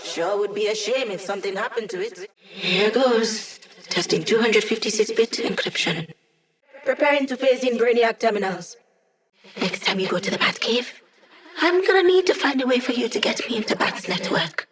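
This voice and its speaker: An actress voicing a sardonic young woman hacker who runs tech support for a squad, in video game voice lines with dry, deadpan humor. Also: a little high, normal speed. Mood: wry.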